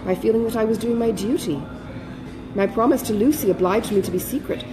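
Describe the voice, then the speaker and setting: A woman voicing a self-controlled, dutiful elder sister in an audiobook, earnest, measured and restrained. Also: fairly high pitch, over music, natural voice, poor audio. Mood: composed, earnest.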